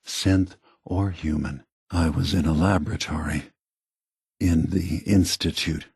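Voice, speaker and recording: Game role: weary old android leader, low and husky, gently persuasive, philosophical, measured delivery. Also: male voice, fairly even intonation, no room sound, studio quality, heavily acted. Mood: calm, reassuring. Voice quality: soft, husky, breathy. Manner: measured, deliberate, philosophical, reassuring. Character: gentle, weary, aged male synth leader, softly persuasive. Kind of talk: video game voice acting.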